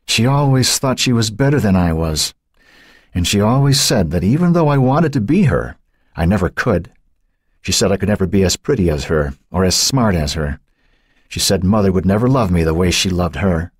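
A man reading the part of a fearful, troubled woman under questioning, halting and husky. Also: on the low side, big pitch swings, dry recording, clean studio sound, voice pushed up. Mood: angry. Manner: halting, pleading, turning angry.

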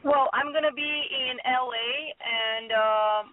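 Serbian-accented woman, slow and careful. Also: high-pitched, dry recording. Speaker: actress, guest. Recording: tinny phone line.

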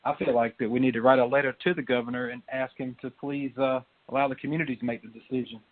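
A man's voice: mid-range voice, steady tone, fast talker. A man speaking as a councilman in a formal meeting held by conference call. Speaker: town councilman. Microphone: telephone. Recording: tinny narrow-band phone line.

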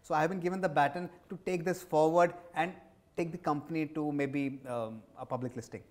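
A man's voice: on the high side, steady tone, a little fast. A man in his forties with an Indian accent, answering interview questions conversationally as a business executive, with emphatic repetition. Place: indoor office lounge set. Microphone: lapel mic.

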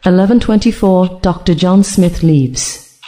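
A woman acting in an audio drama as a calm, coaxing police interviewer with a measured manner. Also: fairly low pitch, close to an everyday voice.

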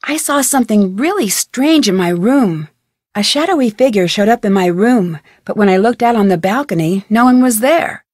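An actress playing a curious, level-headed young female detective in an adventure game, speaking in an earnest, composed, clearly enunciated way. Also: medium pitch, lively intonation, normal speed, darkish tone, no echo, studio quality, natural voice. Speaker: voice actor, game protagonist. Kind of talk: scripted adventure game dialogue.

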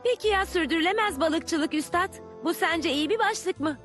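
An earnest young girl in a cartoon dub. Her voice is very high, and she explains and argues like a committed campaigner, with soft music behind. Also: moderate pace. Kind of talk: Turkish dubbed educational cartoon.

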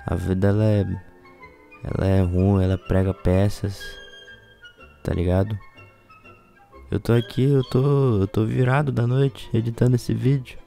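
A man's voice: lowish voice, flat delivery, a little slow, creaky, long pauses, dark tone. A Brazilian man giving casual, profane game commentary in an exasperated, complaining way. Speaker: gaming video host. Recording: music behind the voice.

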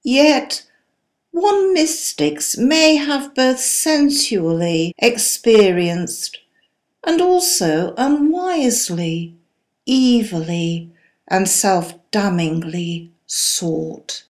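A woman gives a dramatic poetry recitation at a very slow pace. Her delivery is solemn and declamatory, each phrase set out deliberately in a medium-pitched voice. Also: very animated. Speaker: poetry reader.

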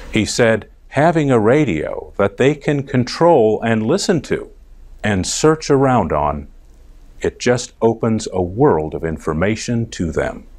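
A man's voice: fairly low pitch, a little mellow. A middle-aged American man presenting a scripted news report for English learners, enunciating carefully phrase by phrase. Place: TV studio.

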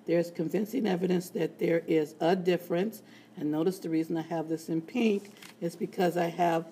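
A woman teaching a statistics lesson in a screencast, with a raspy voice and playful, chatty asides. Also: lowish voice, steady tone, normal speed, warm tone, a little hiss or hum. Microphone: tablet screen recording.

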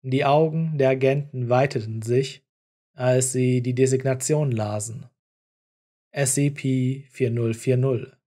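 Male narrator for fiction audiobook; dark, relaxed, mid-pitched read. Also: a little slow. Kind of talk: scripted story narration.

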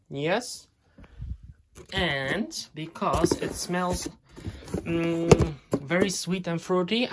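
Slow, hesitant man narrating laundry. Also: on the high side, vocal fry, noisy background. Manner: unhurried, hesitant, chatty explaining. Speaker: laundry vlogger. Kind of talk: casual narration while doing laundry. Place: home laundry area.

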